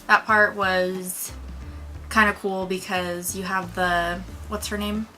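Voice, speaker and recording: A woman's voice: mid-range voice, flat delivery, slow, pushed and bright, faint music behind. A woman co-hosting a movie review in a casual, chatty, informal way. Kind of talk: casual film discussion.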